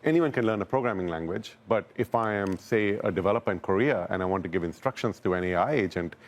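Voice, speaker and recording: Deep-voiced male tech executive. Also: fast talker. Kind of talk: live TV interview.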